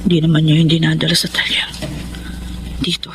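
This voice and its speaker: A woman's voice: low-pitched, completely flat delivery, brisk, lightly acted. An actress playing a remorseful, troubled mother and wife in a radio drama. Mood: tense, furtive. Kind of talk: Tagalog radio drama acting.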